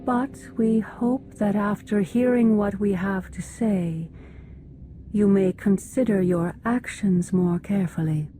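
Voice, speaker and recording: A woman's voice: medium pitch, takes it slowly, mellow, faint music behind, lightly acted. An actress playing a stern, distrustful female alien council leader in a video game, formal and grave. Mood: cold reproach. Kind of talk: video game cutscene acting.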